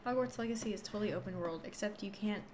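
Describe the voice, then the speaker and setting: A woman's voice: medium pitch, fast, darkish tone, slight background noise. A woman giving casual, chatty live commentary as she plays a video game, self-deprecating and mildly exasperated. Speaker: game streamer. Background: video game music.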